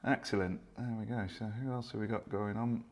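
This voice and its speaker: A man's voice: on the low side, steady tone, a little fast. A man co-hosting a live stream, chatting casually and reading out viewer comments. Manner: chatty, self-deprecating, reads out viewer comments.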